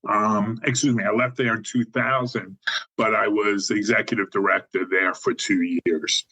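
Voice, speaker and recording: A man's voice: fairly low pitch, fairly even intonation, normal speed, sharp tone, slightly rough, poor audio. A man in his sixties with a New York accent, a guest talking casually about his career on a podcast with many "um"s and self-deprecating asides. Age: 60s. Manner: chatty, self-deprecating, filler-heavy. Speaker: guest, mortgage insurance sales executive. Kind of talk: conversational podcast interview.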